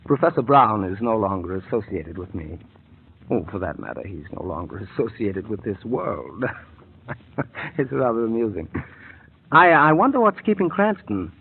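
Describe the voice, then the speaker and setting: A man's voice: fairly low pitch, very animated, normal speed, creaky, a little hiss or hum. An actor playing a cold, mocking male mad scientist who chuckles as he threatens, in 1940s radio drama style, on a tinny old broadcast. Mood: gloating. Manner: suave, chuckling menace.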